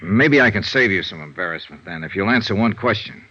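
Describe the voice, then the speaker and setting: An actor playing a calm, businesslike insurance detective in 1950s radio noir, asking dry, clipped, understated questions. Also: male voice, fairly low pitch, brisk, slightly rough, exaggerated voice. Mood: probing. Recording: dull vintage radio recording.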